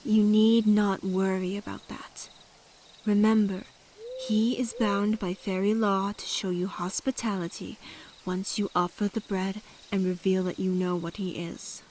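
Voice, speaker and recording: A woman playing a mysterious forest woman in an audio drama, speaking in a formal, measured, old-fashioned way and calmly giving instruction. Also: medium pitch, slight background noise, close to an everyday voice, poor audio. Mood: formal, courteous.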